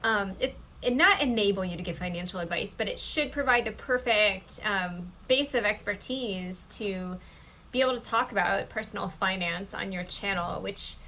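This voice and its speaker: A woman's voice: mid-range voice, moderate pace, pushed and bright, slight background noise, rough recording. A woman hosting a casual interview, chatty and rambling with fillers. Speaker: host, YouTuber.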